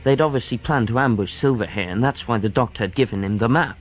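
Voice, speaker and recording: A man's voice: mid-range voice, fast talker, soft background music, lightly acted. An actor playing a reflective seafarer telling his adventure in rustic speech, as radio drama narration. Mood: matter-of-fact. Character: reflective sailor narrator recalling a youthful adventure.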